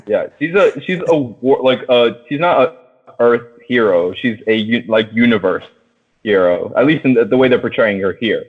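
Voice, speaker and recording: A man hosting a casual film chat, talking in slangy, profane language and steering the discussion like an excited fan. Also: mid-range voice, moderate pace. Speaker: podcast host. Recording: tinny phone-like sound.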